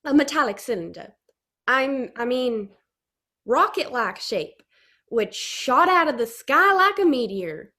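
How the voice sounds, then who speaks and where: A stubborn country farmer played by a young woman blusters in a folksy drawl. The voice sits high for a man and swings about in pitch, in comic radio play style. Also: very animated, measured pace, bright, forceful tone, exaggerated voice. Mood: earnest. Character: folksy, stubborn male farmer, prone to posh slips. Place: home room, on a video call.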